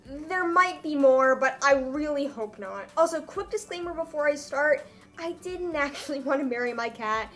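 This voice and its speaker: A woman's voice: high-pitched, expressive, moderate pace, a bit bright. A young woman chatting casually in a comedic vlog, with wry, self-mocking asides. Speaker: vlogger. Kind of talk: casual comedic vlog chat, reading own old writing.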